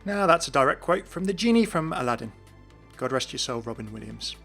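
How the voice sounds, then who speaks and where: A man's voice: medium pitch, expressive, a little fast, vocal fry, a bit bright. A man giving scripted, explanatory commentary as the host of a gaming video. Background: background music.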